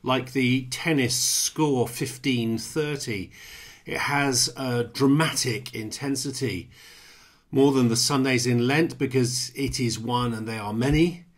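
A middle-aged man, a priest, preaching in a measured, gently wry way from a small room at home, recorded on a webcam. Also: mid-range voice. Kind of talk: sermon and psalm reading.

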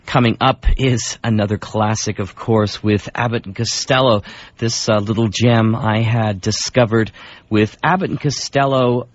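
A man hosts a radio show with casual introductions. His voice is low, and the recording sounds slightly dull.

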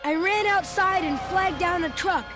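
Excitable little kid telling a read-along story, really high voice, dramatic and earnest. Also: a little slow, over music. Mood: breathless dismay. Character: Chunk, excitable chubby young boy narrator.

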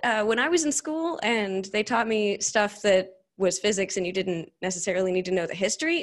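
A woman presents at a tech meetup in an informal, enthusiastic way. Her voice sits at an average pitch, and she talks to the room as if chatting with colleagues. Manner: informal, peppered with "like" and enthusiastic asides. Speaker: conference speaker, cloud security engineer. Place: meetup room before a seated audience.

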